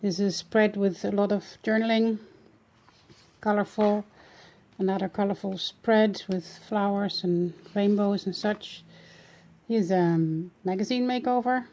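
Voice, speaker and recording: Dutch-accented woman, casual narrator, unhurried and tentative. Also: medium pitch, fairly even intonation, dark tone. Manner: hesitant, searching for words. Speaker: art journaling creator. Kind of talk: casual flip-through narration.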